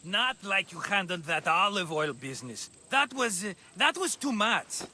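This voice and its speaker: Jovial wheedling merchant, video game cutscene, piercingly bright voice, over-eager, faint background noise. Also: male voice, high voice, expressive, moderate pace, heavily acted. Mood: chiding, excusing. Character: jovial, scheming male merchant and hapless vineyard owner.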